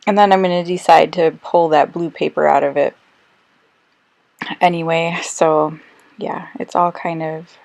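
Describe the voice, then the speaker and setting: Woman kinda losing her voice, rambly relaxed craft voiceover, lots of ums, low-ish pitch. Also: a little slow, vocal fry, long pauses, dry recording. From Australia. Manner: chatty, relaxed, with many ums and self-corrections. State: slightly hoarse, losing her voice. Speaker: scrapbooking crafter. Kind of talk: casual craft voice-over. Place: quiet room, recorded voice-over.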